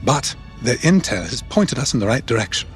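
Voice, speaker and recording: A man's voice: mid-range voice, sing-song, fairly quick, lightly acted. A man voicing a polite, eager droid intelligence analyst, fussy, precise and formal, in a sci-fi game. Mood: polite eagerness. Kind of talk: cinematic sci-fi game acting. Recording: music behind the voice.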